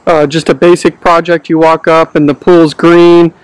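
A man with a fairly high, clear, smooth voice hosts the chat and asks short, casual questions. His tone stays flat and even, with quick "okay"s in between. He is speaking outdoors in a backyard. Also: dry recording, low-quality recording. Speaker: home inspector hosting.

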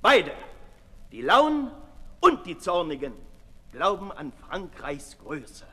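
High-voiced, wildly swinging sardonic man. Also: sing-song, slow pace, breathy edge, full character voice. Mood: bitter, sardonic. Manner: sardonic, declamatory, swinging from hushed to loud. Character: cynical, mocking aristocratic male philosopher, contemptuous of patriotism. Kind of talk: 1960s German radio drama oratory. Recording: reverberant old radio play.

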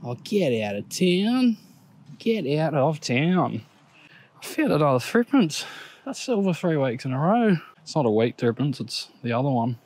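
A man in his thirties with an Australian accent, chatting casually to viewers while metal detecting outdoors, excitable and quick to laugh at his finds. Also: a little high, no echo. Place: outdoors on a grassy park lawn. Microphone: action camera on the body or set on the ground.

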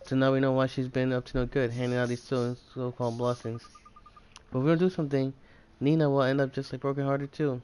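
A man's voice: mid-range voice, flat delivery, fast, a little mellow, clean tone. A man streaming a video game, reading its dialogue aloud in a mostly plain voice.

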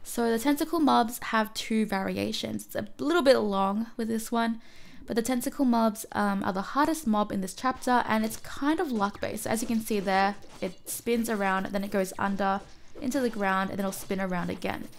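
A woman with a cough-roughened voice narrating a mobile game guide, explaining enemy patterns and often correcting herself. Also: on the high side, normal speed. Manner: explanatory, self-correcting, apologetic. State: hoarse from a cough. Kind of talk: gameplay guide voice-over.

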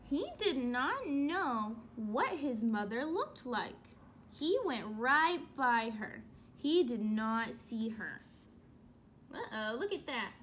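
A young woman teacher reading a children's book aloud and asking questions in an expressive, instructive way. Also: on the high side, slow, a little hiss or hum. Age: young adult. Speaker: elementary school teacher. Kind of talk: children's read-aloud lesson. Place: small room at home.

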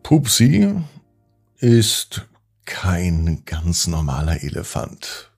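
A man hosting a children's podcast, narrating expressively and gently with drawn-out emphasis. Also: sits low, sing-song, vocal fry, breathy edge, soft background music. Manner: gentle, drawn-out emphasis, addressing young listeners. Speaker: children's podcast host and storyteller.

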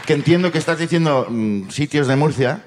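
A man co-hosts a live comedy show, cutting in with playful, teasing remarks. His voice is slightly high and swings widely as he jokes. The recording carries clear crowd sound and background noise. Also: expressive, brisk. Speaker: co-host.

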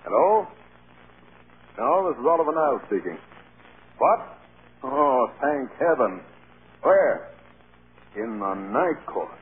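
An actor playing a loyal, protective film studio boss, speaking with earnest concern in 1930s radio style. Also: male voice, medium pitch, very animated, takes it slowly, pauses often. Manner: solicitous, earnest. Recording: scratchy, tinny 1930s radio broadcast.

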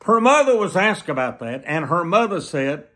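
An elderly man preaching to the camera in an emphatic, deliberate manner, often repeating phrases for weight. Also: a little high, very animated, a bit bright. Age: 70s. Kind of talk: sermon to camera. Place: small plain room indoors.

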